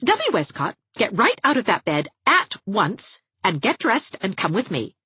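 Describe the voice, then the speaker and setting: Lady acting a bossy young woman friend telling someone off, pitch jumps around, thin phone-like audiobook sound. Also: sing-song, a little fast, breathy edge. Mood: exasperated, scolding. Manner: sharp, insistent, scolding. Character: brisk, sarcastic, exasperated young woman, a bossy loyal friend. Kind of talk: audiobook character voice.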